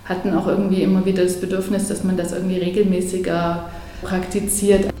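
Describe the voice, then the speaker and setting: A woman from Germany answering interview questions about her church, thoughtful and pausing often with fillers. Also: on the low side, flat delivery, music in the background. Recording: very echoey room.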